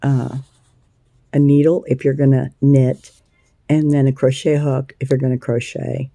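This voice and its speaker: A woman with a scratchy voice hosting a casual crochet tutorial, chatty and unhurried, with plenty of ums and uhs. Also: rumbling low, level tone, dark tone, no room sound. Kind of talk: casual hands-on craft tutorial.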